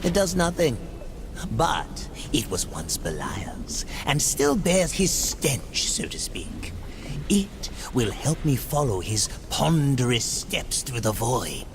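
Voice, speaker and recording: Game creature: greedy demon merchant, theatrical, extremely animated intonation, drawn-out words, noisy ambience behind. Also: male voice, mid-range voice. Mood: greedy relish. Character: scheming otherworldly demon merchant, male, greedy and cunning. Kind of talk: dramatic video game cutscene acting.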